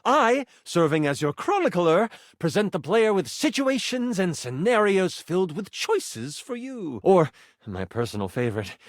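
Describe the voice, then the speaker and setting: An eager, geeky living die who runs fantasy adventures as a video game character. The voice sits high and swings widely in pitch, full of theatrical storyteller flourish and excitable nerdy zeal. The sound is dry and close. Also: male voice, unhurried, dry recording. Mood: grand storytelling. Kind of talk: animated video game voice acting.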